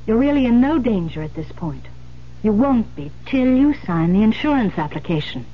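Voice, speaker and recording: A grave, commanding woman in an old radio drama. Her voice is fairly high and swings noticeably as she instructs, firm and deliberate. The recording is dull, with a faint hum. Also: sing-song. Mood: grave, insistent. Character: grave, commanding woman coaching a frightened accomplice. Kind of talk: 1970s radio drama acting.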